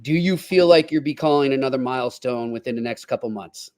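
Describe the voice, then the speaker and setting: A man's voice: medium pitch, fairly quick, darkish tone. A man hosting a sports podcast in a casual conversational style. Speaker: podcast host.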